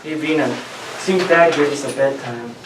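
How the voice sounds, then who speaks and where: A man's voice: mid-range voice, moderate pace, over music, close to an everyday voice. A man playing an easygoing, cheeky young spaceship crewman at an informal sci-fi table read. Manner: cheeky, laid-back. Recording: echoey room, distant mic, clicking noise.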